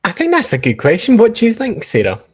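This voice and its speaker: A man's voice: high-pitched, huge pitch swings, quick pace. A man hosting a casual interview, talking conversationally and rephrasing his thoughts mid-sentence. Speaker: host, interviewer.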